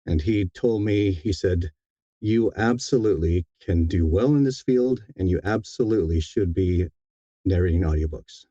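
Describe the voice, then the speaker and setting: Very deep, mellow-voiced man. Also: dry recording, highs cut off. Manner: halting, reflective, sincere. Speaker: guest, audiobook narrator. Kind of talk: casual interview conversation.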